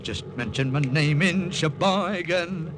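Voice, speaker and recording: An actor playing an eager, wisecracking American wartime agent and narrator in a 1950s radio drama. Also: male voice, on the high side, slow, noisy background. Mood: jokey, cocky. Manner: jokey, eager, talkative. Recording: old radio broadcast with music.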